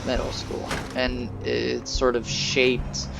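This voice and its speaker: A young man rambles through gameplay commentary in a flat, monotone voice full of fillers and restarts. He talks slowly, with a gravelly edge to his voice. Loud game music plays behind him. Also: mid-range voice. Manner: monotone, halting with many fillers. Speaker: gaming channel host.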